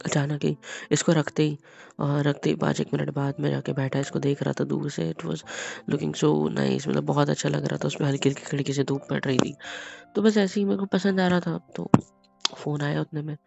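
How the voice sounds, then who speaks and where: A man playing a young husband who is hot-tempered but tender and apologetic, speaking softly and often near a whisper. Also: a little high, vocal fry, lo-fi sound. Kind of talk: romantic audio drama.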